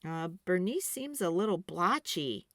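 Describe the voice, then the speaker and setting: A woman puts on a hesitant father for a children's storybook. The delivery is slow and coaxing, tentatively reasoning, in a very dry recording. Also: fairly low pitch, lively intonation, fairly bright tone, dry recording.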